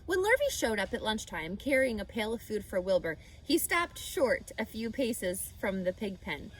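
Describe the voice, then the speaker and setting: A woman's voice: medium pitch, very animated, normal speed, a little hiss or hum, rough recording. A woman reading a children's book aloud expressively, now and then speaking to the listener. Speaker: storyteller.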